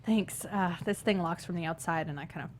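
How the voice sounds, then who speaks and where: A woman's voice: on the low side, fairly quick, natural voice. A woman playing a sardonic teenage girl barista with a dry, quippy delivery at a script read. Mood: casual, quippy. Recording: noticeable background noise.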